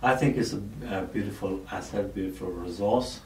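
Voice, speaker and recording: A man with a low voice hosts a community podcast, keen and agreeable, filling gaps with yeahs and ums. He sounds distant from the mic. The room is echoey, with a faint noise underneath. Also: fairly quick. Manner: eager, with many agreeing fillers. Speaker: podcast host. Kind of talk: casual interview questions.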